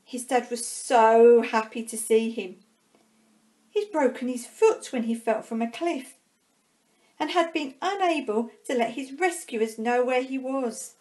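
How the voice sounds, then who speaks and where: A woman reading a children's storybook aloud expressively, with dramatic pauses and drawn-out words. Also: fairly high pitch, darkish tone. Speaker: primary school staff member reading a storybook.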